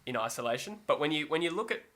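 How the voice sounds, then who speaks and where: A man's voice: fairly high pitch, animated, rapid-fire, sharp tone. A man co-hosting a sports podcast, talking in a rambling, self-correcting way and laughing easily. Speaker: podcast co-host. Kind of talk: casual sports chat.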